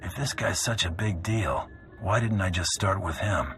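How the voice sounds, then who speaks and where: An actor playing a tough, cocky bounty hunter in a video game, speaking tersely with dry sarcasm. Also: male voice, low voice, moderate pace, a bit bright, faint music behind. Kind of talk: video game cutscene acting.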